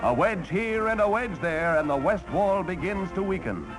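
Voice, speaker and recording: Male American newsreel commentator, 1940s. High, swooping delivery, punchy and theatrical, old soundtrack. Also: lively intonation, normal speed, rough recording. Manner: punchy, colourful, theatrical announcing. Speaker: newsreel narrator. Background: loud music.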